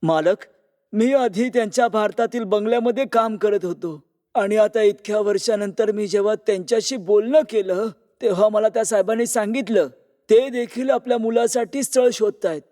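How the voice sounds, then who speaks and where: A man's voice: sits high, normal speed, dark tone, close to an everyday voice. A man voicing an eager, respectful old family servant playing matchmaker in a cartoon moral story. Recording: long reverb on voice.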